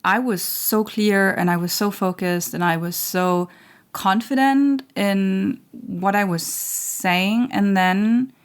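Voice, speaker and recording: A woman hosting her own spiritual channel, talking in a slow, searching way with many fillers and restarts while losing her voice. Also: mid-range voice. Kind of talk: reflective spiritual monologue.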